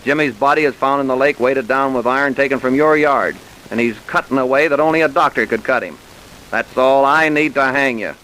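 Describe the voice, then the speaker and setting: A man's voice: mid-range voice, fairly quick, pushed and bright, close to an everyday voice, poor audio. A man playing a blunt, persistent county sheriff in an old-time radio crime drama, folksy and confident. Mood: threatening, confident. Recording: hissy vintage radio recording.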